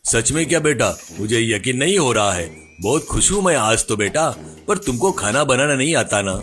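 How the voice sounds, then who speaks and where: A man's voice: medium pitch, sing-song, moderate pace, a bit bright. A man voicing a tired, trusting, ageing father in a cartoon moral story, speaking indulgently. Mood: surprised, happy.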